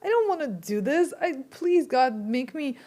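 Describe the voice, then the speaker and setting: A woman guest in a casual interview who chats freely, often affirming with quick "yeah"s and acting out her own thoughts. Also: high-pitched, big pitch swings, mellow.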